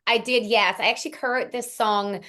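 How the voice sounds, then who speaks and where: A woman's voice: a little high, steady tone, a little fast, bright, forceful tone. A young woman with an accent that mixes Australian and American, chatting at length as an interview guest, with frequent ums. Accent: mixed Australian and American. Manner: chatty, frequent ums, long run-on answers. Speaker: singer-songwriter guest. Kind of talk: casual interview chat.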